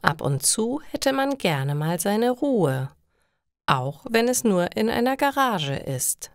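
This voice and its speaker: A woman reading simple stories for language learners as a narrator, in a slow, careful storytelling style. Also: medium pitch, big pitch swings, no room sound, clean studio sound.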